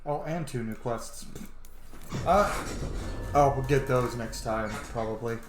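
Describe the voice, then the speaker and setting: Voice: medium pitch, measured pace. A gamer giving casual, offhand playthrough commentary, thinking aloud. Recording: roomy echo, clear background noise.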